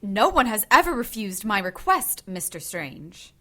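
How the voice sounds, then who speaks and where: A woman's voice: medium pitch, sing-song, measured pace, bright, forceful tone, full character voice. Regal female ruler of witches, medium register, formal, authoritative, self-possessed, audio drama. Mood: commanding. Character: composed, regal high priestess of an island of witches.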